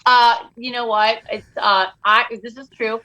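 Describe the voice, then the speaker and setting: A woman's voice: a little high, steady tone, unhurried, pushed and bright, poor audio. A woman comedian guest on a podcast, joking and self-mocking in casual chat.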